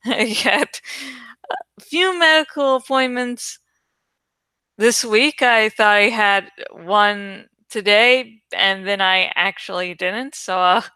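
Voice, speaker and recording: A woman's voice: medium pitch, takes it slowly, pushed and bright, clear, resonant tone, no echo. A woman host talking casually to her viewers, rambling in an irreverent, sweary, giggly way. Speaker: host of a reading channel.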